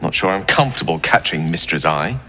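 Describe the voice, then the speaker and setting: An actor playing a wary, eloquent wizard in a fantasy game, speaking formally with dry wit. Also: male voice, low voice, lively intonation, fairly quick, pushed and bright, in a large hall, exaggerated voice. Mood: guarded, wry. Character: wry, wary, eloquent male wizard companion.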